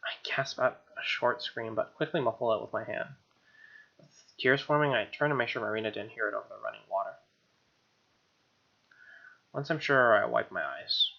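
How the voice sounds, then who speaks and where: A man reading visual novel narration aloud as a let's player, expressive, with casual asides and reactions. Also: fairly low pitch, normal speed, long pauses. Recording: dull lo-fi mic, music clearly behind. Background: game music.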